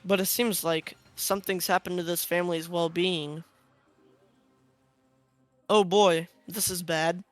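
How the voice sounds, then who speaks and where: A man's voice: high voice, steady tone, measured pace, pauses often, no room sound, soft background music. A man playing a mock-cheerful, gleefully menacing villain bear in an amateur game dub. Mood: mock concern. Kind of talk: fan-dub game villain announcement over speakers.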